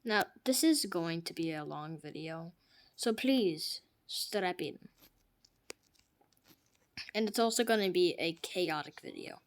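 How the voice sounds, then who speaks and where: Unhurried woman joking through gameplay. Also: fairly low pitch, expressive. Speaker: gamer. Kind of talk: gameplay commentary.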